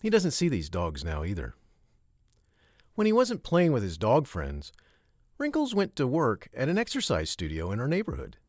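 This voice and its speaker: Man, children's story narrator, very animated pitch, mid-range voice, emphatic phrasing, short pauses, dry close sound. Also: no echo.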